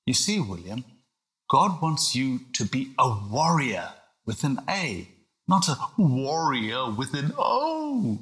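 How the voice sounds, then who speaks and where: A man reading a storybook as a patient, reassuring father, gently explaining things with faint exasperation. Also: medium pitch, very animated, slow. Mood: gentle teaching.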